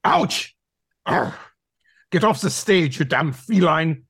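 A man's voice: on the high side, lively intonation, slow, vocal fry, a bit bright, slightly rough, no room sound, studio quality, exaggerated voice. A man playing a pompous, irritable dog who runs an acting class, with a German accent and a bossy, exasperated manner, in an audio play. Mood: exasperated, angry. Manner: barking commands, pompous, exasperated.

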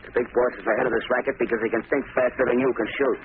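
A bossy tough-guy crook played by a male actor, rattling off gruff, slangy orders at a quick clip. He is impatient and hard-bitten in radio-play style. The recording is noisy and thin, like an old broadcast. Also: medium pitch, fairly even intonation, narrow, tinny audio, close to an everyday voice. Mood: hard, commanding. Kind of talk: 1940s radio drama acting.

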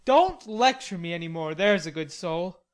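A woman voicing a cheerful, theatrical young gentleman who teases and exclaims, as character dialogue in an audiobook. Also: on the low side, lively intonation, normal speed, natural voice. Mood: easygoing. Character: cheerful, teasing young gentleman, tall and melodramatic.

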